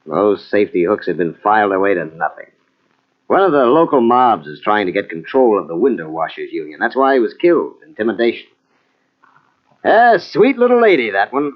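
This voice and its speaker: A man's voice: lowish voice, sing-song, a little fast. An actor playing a skeptical male police captain with a dry, doubting manner in an old-time radio mystery. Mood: wry. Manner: dry, doubting, matter-of-fact. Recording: dull, muffled old radio tape.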